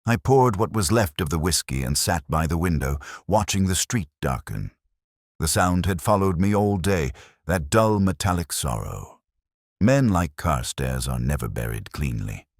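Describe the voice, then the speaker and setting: A man as a very deep-voiced, brooding ageing detective. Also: animated, no echo, clean studio sound, heavily acted. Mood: brooding, reflective. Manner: measured, reflective, solemn. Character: ageing, melancholy male detective, wry and brooding. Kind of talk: literary first-person radio drama narration.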